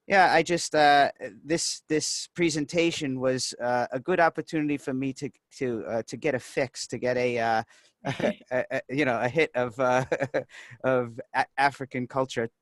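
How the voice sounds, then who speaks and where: A man takes part in a casual online group conversation. His mid-pitched voice is recorded dry, with no room around it, and he speaks in measured, hesitant phrases that often halt. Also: no room sound. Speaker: session participant. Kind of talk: casual chat on a video call. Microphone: video call.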